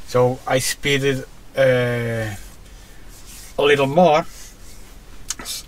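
Slow-talking Portuguese-accented man in a car. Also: mid-range voice, fairly even intonation. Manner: halting, many fillers and restarts. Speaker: car vlogger. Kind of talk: casual narration while driving. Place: inside a car.

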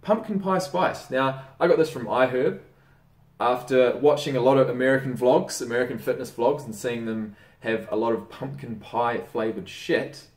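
A young Australian man casually giving diet tips in a chatty, swearing, relaxed vlog manner. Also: medium pitch, moderate pace. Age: young adult. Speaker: fitness vlogger. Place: indoor room by a window. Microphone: camera mic on desk. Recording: roomy, mic a bit distant.

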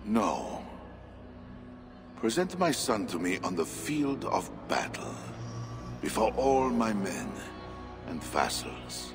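An actor playing a scheming, sneering Frankish king who talks slowly and deliberately. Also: lowish voice, very animated, creaky, music in the background, exaggerated voice. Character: scheming portly Frankish king, menacing, mocking. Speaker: king Charles. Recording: game score under a long-reverb hall.

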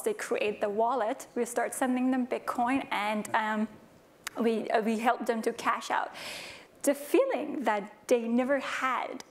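A woman in her thirties from Afghanistan, answering on a conference panel in earnest, with many fillers, on a lapel mic. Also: on the high side. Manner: earnest, many fillers, non-native phrasing. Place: large conference stage.

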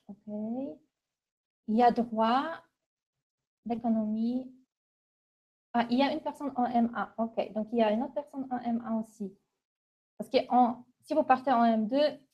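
A middle-aged woman from Poland with a Polish accent, presenting an informal webinar in a halting, conversational way. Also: on the high side, breathy edge. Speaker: university international relations manager, webinar presenter. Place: small office, indoors. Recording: dull, lo-fi webcam audio.

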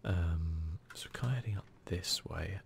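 A man with a low, gravelly voice, speaking softly and often close to a whisper. He gives casual, muttered ASMR game commentary with a self-deprecating touch, over faint background noise. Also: normal speed. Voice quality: soft, breathy, often near a whisper. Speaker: gaming video host.

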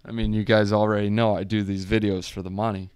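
A man's voice: on the low side, flat delivery, fast talker, darkish tone, clear, resonant tone. A man casually walking viewers through a screen share, joking and chatty, calling them guys. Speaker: crypto YouTuber.

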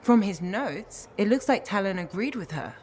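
An actress playing a level-headed, cautious analyst in a video game, measured and matter-of-fact. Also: female voice, medium pitch, expressive, a little mellow, natural voice. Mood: calm, cautious.

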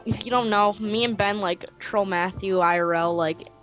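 A woman's voice: mid-range voice, moderate pace, over loud music. A young woman chatting casually while gaming, rambling and joking in a crude way. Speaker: gamer in a multiplayer session.

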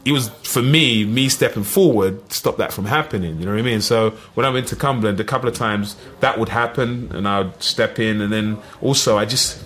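A middle-aged man with an East London accent, telling lively stories as a podcast guest, full of "you know what I mean". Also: on the low side, fairly quick, hardly any pauses. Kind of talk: casual storytelling.

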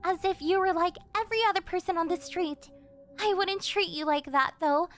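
A woman's voice: very high voice, moderate pace, a bit bright. A woman playing an obsessive young cafe server turned kidnapper in an anime-style roleplay, sugary, teasing and possessive. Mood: infatuated, jealous. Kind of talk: yandere ASMR roleplay acting, anime-style. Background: music.

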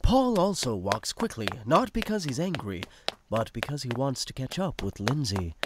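A man's voice: medium pitch, very animated, normal speed, noisy background. A man narrating a radio play in the present tense with a conversational storytelling manner.

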